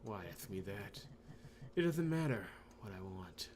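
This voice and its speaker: A man's voice: fairly low pitch, very animated, unhurried, a little mellow. A man voicing a bitter, taunting, injured man in a visual novel, sardonic and dismissive. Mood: fatalistic. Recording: clear background noise.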